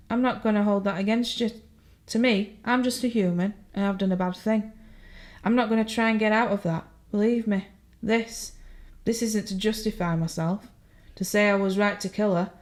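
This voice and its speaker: An actress plays a woman murderer giving her confession from behind bars. She speaks at a medium pitch, numb and chillingly candid, repeating herself haltingly. It is intimate horror podcast drama with no remorse in it. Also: a little mellow, lightly acted. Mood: matter-of-fact, defensive.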